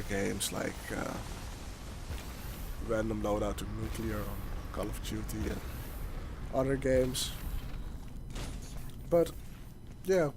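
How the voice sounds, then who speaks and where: A man's voice: on the low side, a little mellow. A young Dutch man with a Dutch accent, speaking English as a second language, giving rambling, hesitant commentary over his gameplay footage. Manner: rambling, hesitant, many restarts. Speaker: gaming YouTuber. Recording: game gunfire and noise behind.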